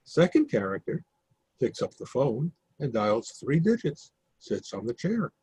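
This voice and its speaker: Man, stage directions read aloud, matter-of-fact, raspy, lively pitch. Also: measured pace, no echo.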